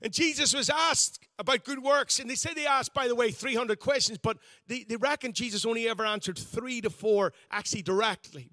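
A man's voice: very high-pitched, bright, forceful tone, dry recording. A man, a pastor giving a conversational, self-deprecating sermon with frequent restarts. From Northern Ireland.

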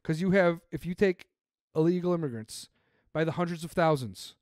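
A man's voice: on the high side, normal speed, darkish tone, no echo, studio quality. A man on a podcast, ranting emphatically about politics with plenty of swearing. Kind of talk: casual political chat.

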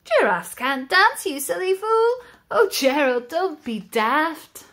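A woman's voice: high-pitched, sing-song, slow, voice pushed up, heavily acted. A woman voicing a noisy crowd of jungle animals in a storybook read-aloud. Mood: jeering. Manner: loud and jeering. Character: crowd of jungle animals, mocking then amazed.

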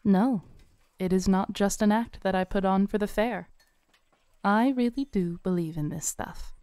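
A young woman playing a fortune teller at a fair in a scripted roleplay audio, with a smooth voice and a soft, coaxing, gently teasing manner, close to the mic. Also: medium pitch, a little slow, no room sound.

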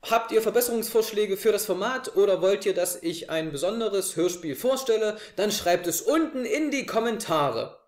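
Higher-voiced young man in an echoey room. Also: expressive, moderate pace, few pauses. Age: young adult. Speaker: host of an audio drama review show. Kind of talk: prepared review to camera. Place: living room, on a sofa.

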